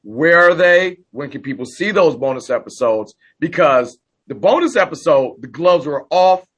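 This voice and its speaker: A hoarse middle-aged man chatting casually as a podcast guest, talking with high energy. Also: expressive, moderate pace, a bit bright.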